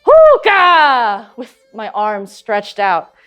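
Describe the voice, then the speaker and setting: An actress playing a young, proud eagle huntress in an earnest, intense dramatic monologue. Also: female voice, fairly high pitch, huge pitch swings, very slow, a bit bright, smooth, soft background music, exaggerated voice. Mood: wistful, proud. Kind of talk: dramatic stage monologue, vivid storytelling.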